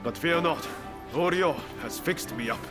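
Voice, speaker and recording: Man acting earnest idealist, high male voice, impassioned, video game drama, loud music behind. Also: a little slow, natural voice. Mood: upbeat, confident.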